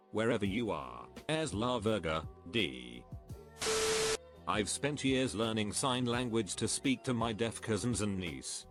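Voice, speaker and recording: A man reading anecdotes aloud as a scripted narrator, at a steady, even pace. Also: lowish voice. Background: faint background music.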